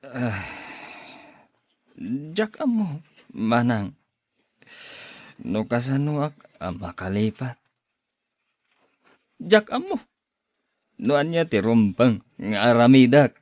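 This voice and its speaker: A man's voice: medium pitch, big pitch swings, takes it slowly, pauses often, no room sound, clean studio sound. A man with an Ilocano accent acting a heartbroken, self-pitying young man in a radio drama, plaintive and brooding. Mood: anguished despair.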